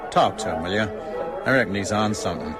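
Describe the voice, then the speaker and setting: A man's voice: lowish voice, expressive, fast, over loud music, lightly acted. An actor playing a worried male bartender in a radio drama, speaking in a familiar, confiding way.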